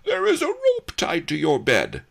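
A man's voice: mid-range voice, lively intonation, moderate pace, vocal fry, bright, forceful tone, voice pushed up, exaggerated voice. A man voicing a pompous, lovesick young male provincial governor who speaks in an overwrought, melodramatic way. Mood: shrill alarm.

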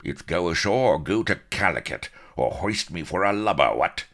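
A man's voice: sits low, expressive, a little slow, pushed and bright, voice pushed up, heavily acted. A man voicing a blustering, grizzled old sea captain who bellows oaths. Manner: bellowing, oath-laden, gruff.

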